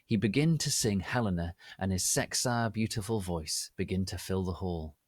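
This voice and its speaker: A man narrating a story as a dramatic reading, in a deliberate and theatrical manner. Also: low-pitched, no room sound, clean studio sound.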